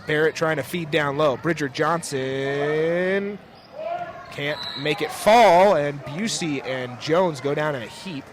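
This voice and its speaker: Higher-voiced young man calling basketball in noisy gym. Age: young adult. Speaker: play-by-play announcer. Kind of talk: live radio basketball commentary. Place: indoor school sports gym. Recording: noisy courtside gym, ball bounces behind. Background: gym ambience, bouncing basketballs, clear noise.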